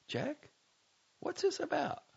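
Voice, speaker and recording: A man voicing a tired, wary woman who speaks cautiously and softly on the phone. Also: very high voice, huge pitch swings, slow, creaky, lo-fi sound, voice pushed up, heavily acted. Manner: cautious and soft-spoken.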